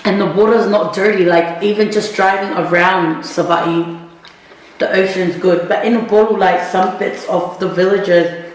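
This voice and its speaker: A woman's voice: on the low side, fairly even intonation, normal speed, recorded from a distance. A young woman chatting casually and laughing as she eats, filming a couple's vlog at home. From Australia. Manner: giggly, chatty, talks while eating. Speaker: vlogger. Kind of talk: casual chat while eating. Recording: echoey room, faint background hiss.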